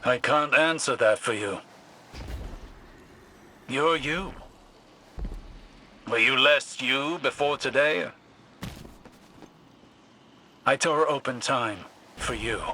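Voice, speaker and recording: Game role: intense scholarly guide, mid-pitched, piercingly crisp edge, precise and authoritative. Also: male voice, takes it slowly, long pauses, sharp tone, a little hiss or hum. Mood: firm, reassuring. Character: measured, intense male scholar-mentor guiding a warrior. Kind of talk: dramatic video game acting.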